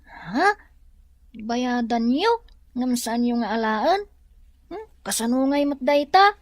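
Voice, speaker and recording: A woman's voice: fairly high pitch, expressive, slow, no room sound, clean studio sound. A woman voicing an eager, curious young child in an Ilocano drama, wide-eyed and pleading in manner. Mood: curious, surprised. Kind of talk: Ilocano radio-style drama acting.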